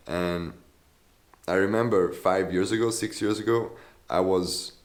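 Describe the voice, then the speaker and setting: A man's voice: low voice, measured pace. A man teaching as a voice coach in a casual video tutorial, enthusiastic and often checking in with "yeah?". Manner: enthusiastic, tags sentences with "yeah?".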